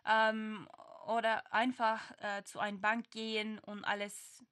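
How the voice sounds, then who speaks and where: Young Turkish woman, bright hesitant voice. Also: mid-range voice, level tone, measured pace. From Turkey. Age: young adult. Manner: hesitant learner German with many fillers. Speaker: interviewee, former exchange student. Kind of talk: casual conversation.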